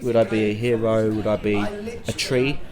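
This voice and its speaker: A man's voice: lowish voice, flat delivery, normal speed. A man in playful, casual chat as an interview guest. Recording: busy crowd chatter behind. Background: crowd noise.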